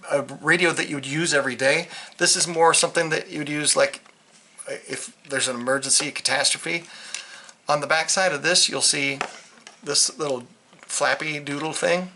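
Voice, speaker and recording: A man's voice: on the high side, bright, forceful tone. A man giving a casual, unscripted product review, rambling and hesitant, often trailing off mid-thought. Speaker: hobbyist video reviewer.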